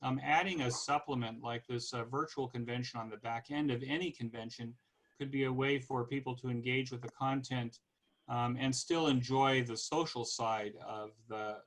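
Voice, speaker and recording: A man over fifty with a North American accent, giving an informal explanatory presentation in a halting way, pausing to search for words. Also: medium pitch, fairly even intonation, fairly bright tone, rough recording. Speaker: podcast host giving a club presentation.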